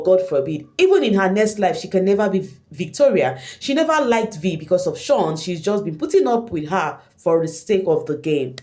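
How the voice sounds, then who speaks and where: A woman with a Nigerian accent and Igbo as her first language, giving casual gossip commentary straight to her viewers and slipping in Pidgin slang. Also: medium pitch. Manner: animated, addresses viewers directly, mixes in Pidgin slang. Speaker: online reality show commentator.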